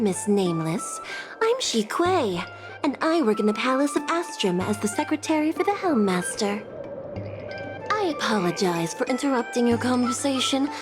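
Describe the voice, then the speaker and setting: A poised court secretary speaks with polished politeness in a slightly high voice. Her pitch moves widely, and background music from the game plays beneath her. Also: sing-song. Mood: courteous. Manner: courteous, formal, measured. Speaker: palace secretary. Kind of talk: video game voice acting. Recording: game cutscene over music.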